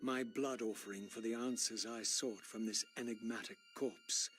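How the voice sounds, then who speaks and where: A man voicing a brooding, embittered undead avenger in a video game, speaking in solemn, formal, measured phrases. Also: fairly low pitch, fairly even intonation, a bit bright, close to an everyday voice. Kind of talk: dramatic video game voice acting. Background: music.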